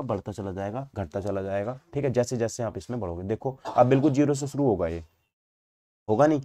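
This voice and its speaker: Male physics tutor, North Indian accent, soft-edged warm timbre, mid-range voice, explains step by step with repeated question-and-answer phrasing. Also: mid-range voice. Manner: repetitive, asks and answers his own questions. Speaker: physics teacher. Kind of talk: explanatory exam-prep lecture.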